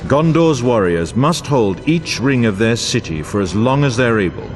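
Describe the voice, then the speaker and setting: A man's voice: mid-range voice, lively intonation, normal speed, natural voice. Male actor doing a grave epic fantasy game narrator guy, portentous and formal, really dramatic rises and falls, music playing behind. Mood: grave, stirring. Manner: grandiose, portentous, formal delivery. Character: solemn, grave epic war chronicler, male. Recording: orchestral music bed under voice.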